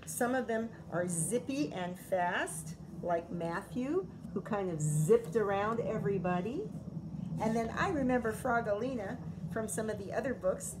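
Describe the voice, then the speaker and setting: A woman's voice: mid-range voice, animated, a little slow, very noisy. A middle-aged American woman, a schoolteacher, reading a picture book aloud to young children and explaining it expressively as she goes. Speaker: elementary school teacher.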